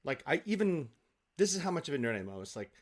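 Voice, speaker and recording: A man talking as an interview guest, an actor who rambles excitedly and repeats himself for emphasis in casual chat. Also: fairly high pitch, quick pace.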